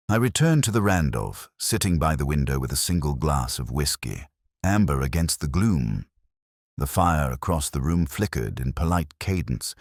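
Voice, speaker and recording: A man with an extremely low voice narrates a detective mystery in the first person. His delivery is solemn and measured, with lively rises and falls in pitch. The sound is close and dry. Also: no room sound. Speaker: narrator of an audio mystery story.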